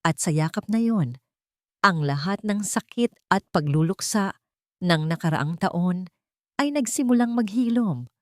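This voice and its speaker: A woman's voice: fairly low pitch, animated, unhurried, darkish tone, no echo, clean studio sound. A woman reading a scripted, dramatic audio story as a storyteller.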